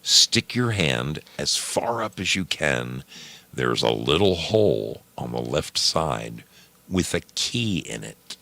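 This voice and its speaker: A man voicing a calm, secretive babysitter in a storybook reading, giving instructions in a matter-of-fact way. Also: rumbling low, slow pace, gravelly, heavily acted. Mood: cryptic, instructing.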